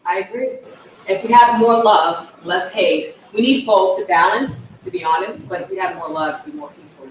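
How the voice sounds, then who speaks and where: An interview host, effusive and laughing, with quick asides and shifting volume. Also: medium pitch. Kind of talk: casual interview. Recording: echoey room, distant mic, faint noise.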